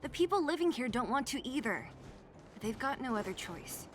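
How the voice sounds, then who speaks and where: A woman playing a sharp, skeptical young companion in a video game, wry and blunt with a teasing edge. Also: sits high, big pitch swings, normal speed, slight background noise.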